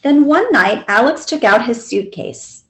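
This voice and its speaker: Woman storyteller for children's picture books, high voice, lively intonation. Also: a little slow. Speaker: library storyteller. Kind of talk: children's picture book read-aloud.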